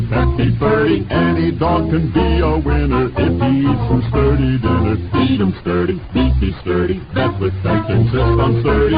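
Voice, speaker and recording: A man delivering a rhyming old-time radio advertisement as an announcer. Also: medium pitch. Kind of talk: old-time radio dog food jingle and pitch. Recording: dull vintage radio recording, loud music. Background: loud music.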